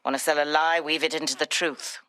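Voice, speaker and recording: A woman's voice: low voice, fairly even intonation, a little fast, sharp tone, no echo, studio quality. An actress playing a ruthless, calculating female spy, in a video game. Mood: menacing. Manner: cool, menacing.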